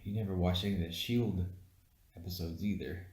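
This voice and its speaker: A man's voice: sits low, steady tone, a little fast, a little mellow. A man co-hosting a casual movie podcast, talking bluntly and argumentatively and swearing freely. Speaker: podcast co-host. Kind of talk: casual movie review chat. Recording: echoey room.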